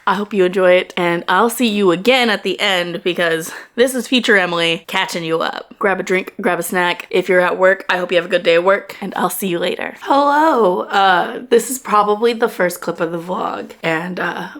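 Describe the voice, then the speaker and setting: A young woman talking casually to her phone camera for her vlog, rambling and laughing, her voice hoarse from a cold. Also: fairly low pitch, moderate pace, few pauses, a bit bright. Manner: chatty, rambling, laughs often. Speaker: book vlogger.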